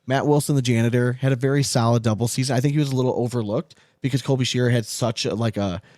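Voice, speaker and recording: A man's voice: medium pitch, steady tone, fast talker, a little mellow, no echo. Casual, emphatic man chatting, dry close sound. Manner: informal, swearing, emphatic. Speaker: podcast host. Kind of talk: casual sports chat about cornhole.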